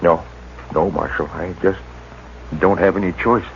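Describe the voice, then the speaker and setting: Hurt feverish farmer, lowish voice, tired and resigned, muffled 1950s radio with hiss. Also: male voice, steady tone. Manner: weary, halting, resigned. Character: feverish, wounded middle-aged homesteader, stoic. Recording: dull 1950s radio broadcast, faint hiss.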